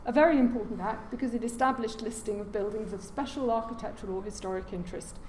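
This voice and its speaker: A soft-spoken woman giving a formal lecture as a guest speaker, with frequent hesitations and fillers. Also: fairly high pitch, quick pace. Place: large lecture room. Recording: echoey lecture hall, faint noise.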